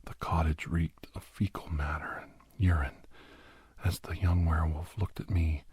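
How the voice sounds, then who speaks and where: Guy acting as a grim doctor telling an asmr horror story, super breathy whispery deep voice, dramatic pauses. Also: steady tone, no echo, studio quality, full character voice. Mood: grim, awed. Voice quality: breathy, husky near-whisper. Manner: hushed, halting, dramatic delivery. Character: grim doctor recounting a bloody werewolf rescue.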